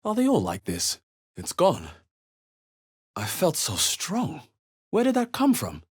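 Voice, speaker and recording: An actor voicing a thoughtful, wary male adventurer in a fantasy video game, with a measured, musing delivery. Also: a little high, huge pitch swings, breathy edge, no room sound, studio quality, full character voice. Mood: startled, urgent. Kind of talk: video game character voice lines.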